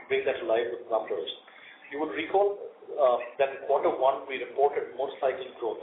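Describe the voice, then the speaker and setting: Indian guy doing a formal earnings call update, measured corporate talk, tinny phone line w some noise. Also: medium pitch. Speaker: company finance executive. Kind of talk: prepared earnings call briefing. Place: company conference call. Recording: roomy, noisy narrowband conference phone line.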